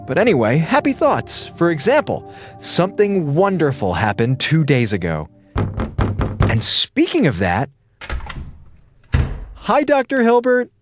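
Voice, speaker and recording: An actor playing a chatty, sarcastic space station communications officer with an American accent, logging theatrically in a radio drama. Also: male voice, fairly high pitch, huge pitch swings, unhurried, long pauses. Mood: forced cheer. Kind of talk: radio drama audio log monologue. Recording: music behind the voice.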